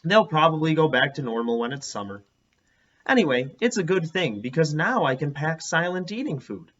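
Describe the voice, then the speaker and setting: A man voicing a fussy, bossy schoolgirl who worries about germs, with emphatic drawn-out words in a storybook reading. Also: fairly high pitch, very animated, a bit bright, slight background noise. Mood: matter-of-fact. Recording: dull, lo-fi sound.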